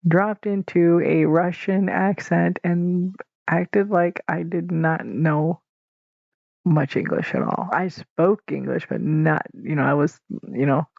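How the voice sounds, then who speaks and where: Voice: medium pitch, steady tone, takes it slowly, creaky, dry recording. A podcast host from the northern United States talking through a casual, candid solo episode, rambling with fillers and restarts and chuckling often. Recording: dull lo-fi home podcast recording.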